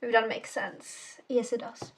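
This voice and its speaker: A woman's voice: fairly high pitch, steady tone, unhurried, slight background noise. Rambling teenage girl reviewing a book. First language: Czech. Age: teens. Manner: rambling, self-correcting, swears casually. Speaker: book vlogger. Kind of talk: casual book review vlog.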